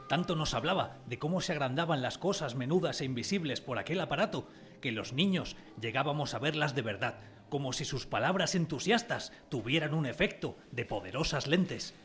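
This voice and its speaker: A man's voice: medium pitch, lively intonation, a little fast, fairly bright tone, lightly acted. A man playing a wistful narrator who recalls his village boyhood in a scripted radio drama. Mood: wistful, storytelling. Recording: music under the voice.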